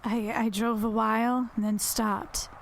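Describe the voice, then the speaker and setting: A haunted, distraught woman in an audio drama. Her voice sits a little high and comes out haltingly, repeating words and trailing off. Faint noise and ambience lie behind her. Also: level tone, gravelly. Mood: horrified.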